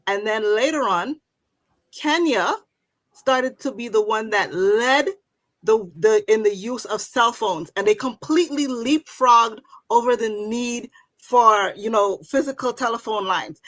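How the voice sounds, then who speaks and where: A woman answers as a panelist, her voice captured very dry with no room sound. She speaks reflectively and hopefully, pausing on fillers as she works through her points. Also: fairly high pitch, a bit bright, no room sound, poor audio. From Guyana.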